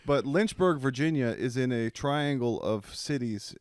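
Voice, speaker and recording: Dry, deadpan male storyteller. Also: medium pitch, unhurried, clean tone, dry recording. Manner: deadpan anecdotal storytelling, profane. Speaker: podcast guest. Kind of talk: casual podcast chat.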